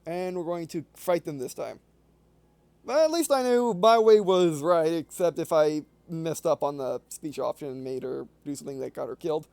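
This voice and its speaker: A man with a fairly high but soft, mellow voice hosts a let's play. He comments casually, half muttering to himself, in a very dry close recording. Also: normal speed, clear, resonant tone, no echo.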